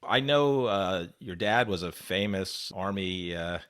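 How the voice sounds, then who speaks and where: A man hosting a podcast interview, eager and halting, with lots of fillers. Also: sits low, animated, fairly bright tone, clean tone. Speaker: podcast host.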